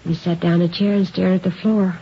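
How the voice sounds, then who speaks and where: An actress playing a worried, gentle mother in an old radio drama. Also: fairly low pitch, little pitch movement, fairly quick, close to an everyday voice. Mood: worried, relieved. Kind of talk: 1950s radio drama acting. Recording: dull, noisy old radio recording.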